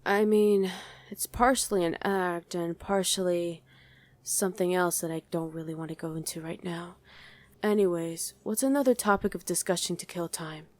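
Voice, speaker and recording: A woman's voice: on the low side, normal speed, darkish tone, close to an everyday voice. A woman voicing a condescending, sardonic female demon commander in a chatty ASMR roleplay. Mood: sardonic, musing. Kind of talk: ASMR roleplay acting addressed to the listener.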